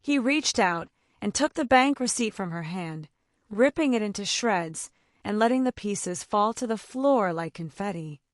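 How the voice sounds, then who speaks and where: A woman's voice: mid-range voice, lively intonation, unhurried, dry recording. A woman reading a romance story as an audiobook narrator, in a dramatic scripted style.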